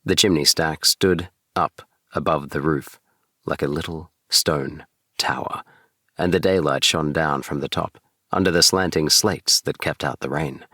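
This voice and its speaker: A man narrating a bedtime audiobook in a gentle, soothing storytelling manner. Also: very deep voice, no room sound, clean studio sound. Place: small DIY recording booth.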